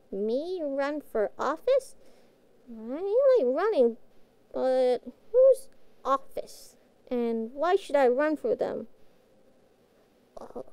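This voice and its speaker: A woman's voice: high-pitched, warm tone, dry recording, heavily acted. A woman playing a sweet, naive young catgirl pet in an audio roleplay, childlike, hesitant and full of innocent wonder. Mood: puzzled, innocent. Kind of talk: scripted audio roleplay acting.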